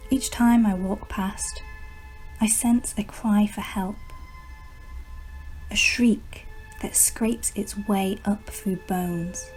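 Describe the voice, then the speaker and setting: A woman performing a poetic radio-drama monologue as a sinister, obsessive plant whisperer, speaking in a hushed, deliberate, dramatic way with lingering pauses. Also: mid-range voice, steady tone, faint music behind, low-quality recording. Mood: ominous, intense.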